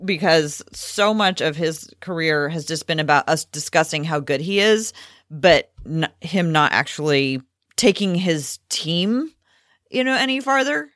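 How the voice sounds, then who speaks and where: A woman with a notably low voice hosts a casual sports talk show. She speaks conversationally and drops in wry asides. The sound is dry, with no room echo. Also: lively intonation, measured pace, a bit bright. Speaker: sports podcast host. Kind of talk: casual basketball chat.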